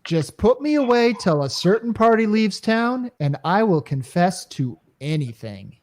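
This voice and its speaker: A man's voice: high voice, very animated, measured pace. An actor playing a harried, wisecracking repairman with slangy, scheming patter in an old-time radio comedy. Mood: resigned, relieved. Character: Caldwell, wisecracking repairman, a harried man scheming to save himself.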